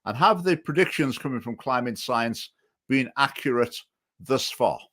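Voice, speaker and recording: Man, talk show host, halting with fillers, mid-pitched. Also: lively intonation, sharp tone. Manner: hesitant, many fillers and restarts. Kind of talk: political talk show chat.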